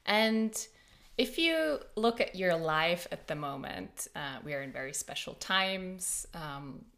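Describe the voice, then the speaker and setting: A woman hosting an interview podcast, speaking in a soft, earnest way that turns breathy at times. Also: on the low side, expressive, takes it slowly. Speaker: podcast host.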